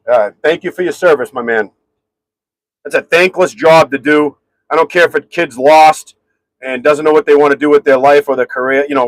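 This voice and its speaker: Loud-voiced man chatting on a live stream. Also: fairly high pitch, no room sound, poor audio. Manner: rambling, chatty, reads and answers viewer comments. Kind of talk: casual live stream chat. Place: home garage.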